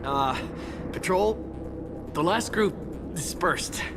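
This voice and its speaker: Animated wounded mercenary grunt. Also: male voice, high-pitched, slow pace, over music. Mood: grudging, nervous. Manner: stammering, profane, defensive. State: in pain, bleeding. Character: wounded mercenary grunt, bitter, frightened, grumbling. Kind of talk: video game acting.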